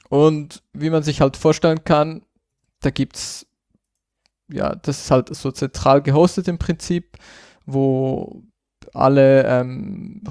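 Podcast co-host, male, mid pitch, gravelly edge, dry close sound, loose and rambling. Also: no room sound. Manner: relaxed, many fillers, thinks aloud. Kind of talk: casual tech chat.

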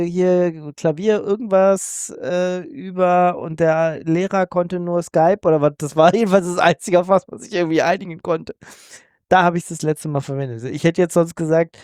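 A man's voice: a little high, dark tone, smooth, no echo, studio quality. A man co-hosting a casual tech podcast, rambling with many fillers and restarts. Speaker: podcast co-host. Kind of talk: casual tech news chat.

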